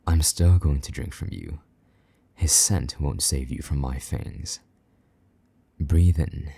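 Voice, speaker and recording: Vampire knight, played by a man: rock-bottom pitch, dark breathy tone, possessive, condescending, commanding, intimate roleplay delivery. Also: full character voice. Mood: domineering. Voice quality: low, husky, breathy, often half-whispered. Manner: slow, taunting, commanding. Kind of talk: intimate roleplay audio drama.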